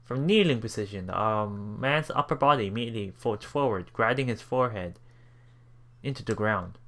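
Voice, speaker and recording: A man hosting a let's play, reading visual novel text aloud haltingly, with casual asides. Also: lowish voice, fairly bright tone. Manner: halting, stumbles and repeats words while reading.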